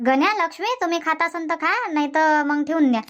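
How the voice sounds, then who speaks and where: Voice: sits high, a little fast, a bit bright, muffled recording. A voice actor doing a whiny old village woman. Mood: grumbling. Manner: grumbling, self-pitying. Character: fussy older village woman with stomach ache. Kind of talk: dubbed cartoon comedy.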